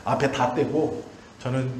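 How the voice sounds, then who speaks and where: A man's voice: mid-range voice, little pitch movement, unhurried, darkish tone. A man in his forties, a pastor delivering a sermon in emphatic, repeated short phrases. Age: 40s. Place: church hall during a service. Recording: roomy church echo, faint background noise.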